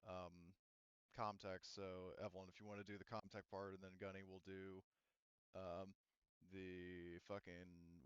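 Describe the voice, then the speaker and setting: Low, smooth-voiced man running a game. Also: no echo, dull audio. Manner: rambling, jokey, acts out characters in quotes. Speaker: game master. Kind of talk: casual, sweary online tabletop game narration.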